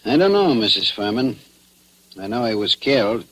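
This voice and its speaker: Blunt american police chief in an old 40s radio play, rough husky voice, really lively pitch, terse. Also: male voice, moderate pace, rough recording. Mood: firm, probing. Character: shrewd, blunt small-town police chief questioning suspects. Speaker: actor playing police chief. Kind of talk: 1940s radio acting.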